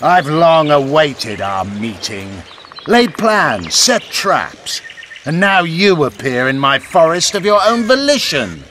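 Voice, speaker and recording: An actor playing a proud, scornful elf rebel commander in a video game, taunting and deliberate in his delivery. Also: fairly high pitch, big pitch swings, a bit bright, a little hiss or hum, full character voice.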